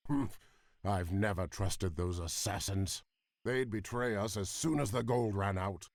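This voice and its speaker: Gruff suspicious soldier sidekick for a game, gravelly mid voice, blunt, dry sound. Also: male voice, fairly low pitch, unhurried, no room sound. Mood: suspicious, advising. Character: gruff, battle-eager, suspicious male warrior lieutenant. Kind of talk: video game cutscene acting.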